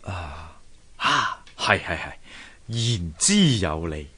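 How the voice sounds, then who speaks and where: An earnest craftsman husband in a Cantonese radio drama, Hong Kong accent. His lowish voice swoops up and down as he ponders aloud with theatrical interjections. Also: sing-song, slow, pushed and bright, breathy edge, exaggerated voice. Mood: animated, pleased. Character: earnest male sculptor husband, eager and thoughtful.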